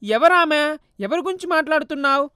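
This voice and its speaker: A woman's voice: high-pitched, a little fast, fairly bright tone, no echo, studio quality, pitched above the performer's own voice. A woman voicing a grieving young man who pleads and cries out to his mother. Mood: bitter. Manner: tearful, pleading, crying out.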